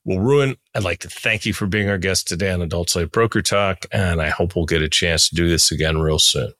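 A man's voice: sits low, a little fast, a bit bright, no echo, clean studio sound. A man talking casually as he interviews a guest as host.

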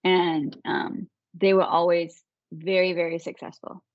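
A woman's voice: lowish voice, flat delivery, a little slow, no room sound, highs cut off. A woman in her thirties from England with a Manchester accent, telling her story as an interview guest and laughing easily. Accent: English, Manchester. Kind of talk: interview conversation.

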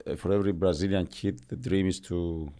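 A male interviewer with a very low voice and a dark, mellow tone. He asks leading questions and often finishes his guest's sentences for him. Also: little pitch movement, a little fast.

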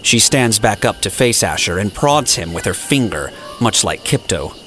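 A man's voice: lowish voice, expressive, moderate pace, rough recording. A man reading scripted, dramatic story narration for an audio drama. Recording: music playing behind the voice.

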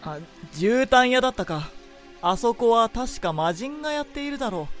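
A proud, skeptical prince acted by a woman in a radio drama, with a voice pitched high for a man. The delivery is dry, cool and curt. Faint music runs beneath. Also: lively intonation, normal speed, close to an everyday voice. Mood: dry, curious. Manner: curt, cool masculine speech.

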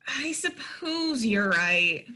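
A woman's voice: fairly high pitch, sing-song, slow pace, bright, forceful tone, full character voice. An actress playing an eager, naive small-town woman in a play reading, wide-eyed and hesitant. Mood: flustered.

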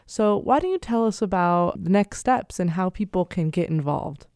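A woman hosting a radio interview, speaking in a measured, courteous way. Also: lowish voice, animated, darkish tone, clear, resonant tone, no echo, clean studio sound. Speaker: radio show host.

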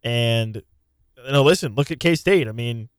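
A man's voice: mid-range voice, measured pace, smooth, no echo. A man co-hosting a sports talk show, chiming in often with short agreements. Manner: agreeable, chimes in with short affirmations. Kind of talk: casual sports chat.

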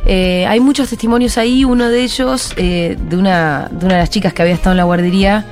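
An Argentine woman hosts a radio interview in a conversational tone and reads out listener messages between questions. Her pitch sits in the middle range for a woman. Faint music plays under the studio talk. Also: fairly even intonation, fast talker, a little mellow. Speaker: radio host.

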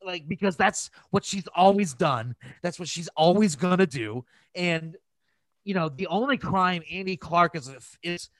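A man's voice: on the high side, a bit bright, no room sound. A man hosting a casual podcast, ranting in an exasperated, opinionated and profane way. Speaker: podcast host.

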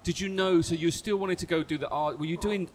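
A man's voice: high-pitched, a little fast, slight background noise. A man hosting a podcast, asking blunt, teasing questions in a casual chat. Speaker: podcast host.

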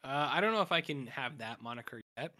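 A man co-hosting a podcast, recapping a TV show in casual chat, his voice raspy and hoarse from talking, rambling with lots of fillers and restarts. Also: medium pitch, animated, fast, pushed and bright. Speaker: podcast co-host.

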